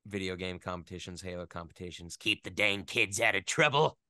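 A man's voice: low voice, fairly quick, sharp tone, no echo. A man, a voice actor, chatting casually as an interview guest, telling stories in a joking, self-deprecating way.

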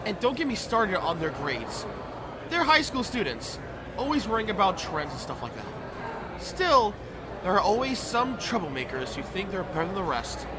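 A man's voice: high-pitched, big pitch swings, moderate pace, background noise. A man playing a cheeky, good-natured young professor in an audio drama, playful and bantering. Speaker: voice actor. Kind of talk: audio drama acting with first-person narration.

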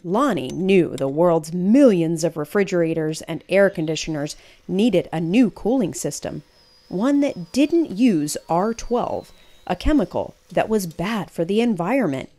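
Unhurried woman reading a picture book aloud. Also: fairly low pitch. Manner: animated, emphatic storytelling.

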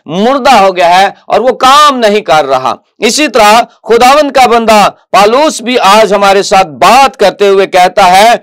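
Middle-aged pakistani preacher, fairly high voice, earnest sermon full of questions, lapel mic in a tv studio. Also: male voice, a bit bright. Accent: Pakistani Urdu. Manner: earnest preaching with rhetorical questions, repeated "my dear ones". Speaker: pastor. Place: TV news studio, indoors.

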